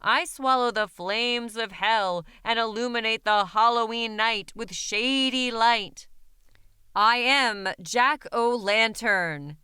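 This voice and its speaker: A swaggering pumpkin monster from a read-aloud Halloween tale, put on by a woman narrator. It declaims its own greatness slowly and loudly, and the bright voice sits close and dry on the mic. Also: fairly high pitch, smooth, no room sound. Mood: grandiose. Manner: grand, declaiming. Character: boastful glowing pumpkin-headed Halloween monster.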